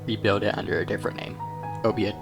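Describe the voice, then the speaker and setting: A man's voice: on the low side, fairly even intonation, fast talker, vocal fry, over music. A man giving casual, offhand playthrough commentary as a gaming streamer, trailing off between remarks.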